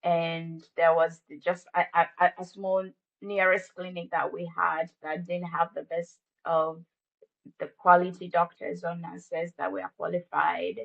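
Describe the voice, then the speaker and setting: A Ugandan-accented woman with a fairly low, flat-toned voice tells her personal testimony in a ministry interview. She speaks with warm gratitude and faith, and the sound is slightly muffled and dull. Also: a little slow, gravelly. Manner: warm, faith-filled storytelling. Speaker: ministry staff guest.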